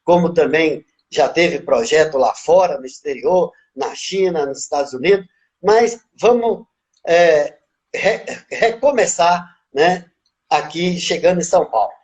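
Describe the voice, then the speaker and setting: A man in his fifties with a slight Bahian accent, telling his life story as an interview guest in a relaxed, reflective way. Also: on the high side, unhurried. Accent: Bahian Portuguese. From Brazil, born in Minas Gerais, raised in Bahia. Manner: relaxed, reflective, tags phrases with "né?".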